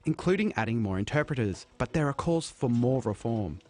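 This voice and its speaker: Reporter voice-over: man, mid pitch, scripted narration. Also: expressive, fairly quick, darkish tone. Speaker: news reporter.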